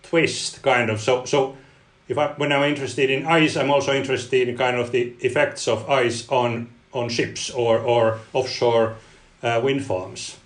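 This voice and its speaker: A man whose first language is Finnish, a guest researcher answering interview questions in a halting way, often repeating words. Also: medium pitch, steady tone, roomy sound. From Finland. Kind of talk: podcast interview.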